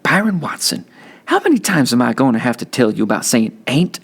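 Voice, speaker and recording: A man's voice: mid-range voice, sing-song, brisk, gravelly, breathy edge, voice pushed up, heavily acted. A man voicing a concerned, scolding mother in an audiobook story. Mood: exasperated.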